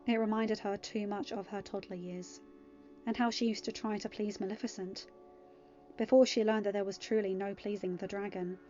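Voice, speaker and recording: A woman reading a story aloud as an expressive amateur podfic narrator. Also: mid-range voice, moderate pace, muffled recording. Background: music.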